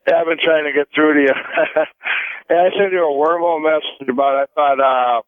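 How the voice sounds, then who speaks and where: A man's voice: fairly high pitch, fairly even intonation, brisk, clean tone, dry recording. A man calling in to a radio show, chatting casually over a thin phone line. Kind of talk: casual chat.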